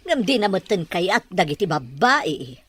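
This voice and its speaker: An actress with a Filipino accent playing an exasperated middle-aged mother and mistress of the house, with a husky voice. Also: on the low side, very animated, measured pace, fairly bright tone, exaggerated voice. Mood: exasperated, correcting. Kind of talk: Ilocano audio drama acting.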